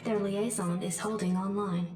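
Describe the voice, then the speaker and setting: A woman's voice: medium pitch, steady tone, moderate pace, lightly acted. A woman voicing a formal, detached military computer adjutant, giving clipped announcements in a game briefing. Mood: impassive, official. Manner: clipped, impassive. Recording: echoing, distant, music behind.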